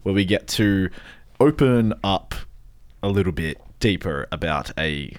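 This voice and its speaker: A man co-hosts a radio music podcast in a studio. His voice sits slightly low. He introduces the show in an upbeat, announcer-like way and teases his co-host playfully. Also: lively intonation, a little slow. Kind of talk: casual radio podcast chat. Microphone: studio microphone.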